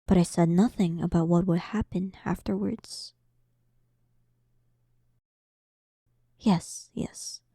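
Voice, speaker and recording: Woman voicing a demon queen, audio roleplay, very mellow dark tone, unhurried, breathy half-whispers, sarcastic, dominant, toying with the listener. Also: mid-range voice, no room sound, studio quality. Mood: menacing. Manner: slow, teasing, condescending, breathy half-whispers. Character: powerful female demon queen, dominant, sarcastic, playfully taunting.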